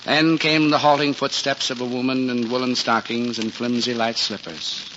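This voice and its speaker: An actor as the narrator of a gentle 1940s radio Christmas tale, telling it in a measured, literary way. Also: male voice, medium pitch, little pitch movement, slight background noise, natural voice. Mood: tender. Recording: muffled 1940s radio recording. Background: music.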